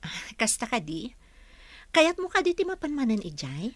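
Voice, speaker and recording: A woman acting a gentle, devout mother and wife in a radio drama. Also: a little high, very animated, normal speed, dry recording. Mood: tender.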